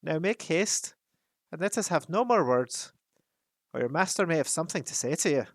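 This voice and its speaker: A man voicing an impatient young dwarf who talks in a hurried, pushy way. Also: on the high side, animated, no room sound, clean studio sound, voice pushed up.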